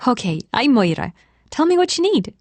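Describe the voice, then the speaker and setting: A young Irish barmaid in an audiobook story, played by a woman narrator, cheery and teasing. She speaks brightly in a raised pitch with an Irish accent, briskly welcoming and gently mocking her customers. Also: big pitch swings, no echo.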